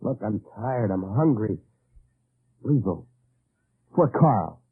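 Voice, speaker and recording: An actor playing a weary, desperate Allied agent in an old-time radio drama, narrating in a tense, confessional manner. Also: male voice, fairly low pitch, sing-song, slow, breathy edge, heavily acted. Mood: weary pleading. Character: weary, desperate male Allied agent behind enemy lines. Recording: tinny old radio recording, background music.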